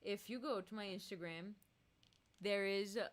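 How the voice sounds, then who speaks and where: A woman's voice: mid-range voice, normal speed. A woman singer hosting a casual live stream, reading chat aloud and laughing often.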